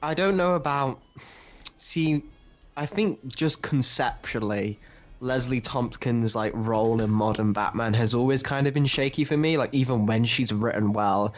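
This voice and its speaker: A man's voice: medium pitch, unhurried, muffled recording, soft background music. A man co-hosting a casual analytical discussion, giving his opinions and thinking aloud as he builds his argument. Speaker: podcast co-host discussing comics.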